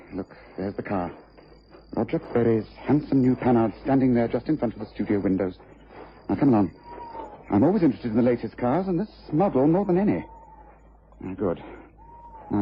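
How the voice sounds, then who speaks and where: An actor playing a shrewd, methodical English gentleman detective, precise and commanding, in a mid-century radio drama style. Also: medium pitch, slight background noise, close to an everyday voice. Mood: absorbed, investigative. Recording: dull, muffled vintage radio recording.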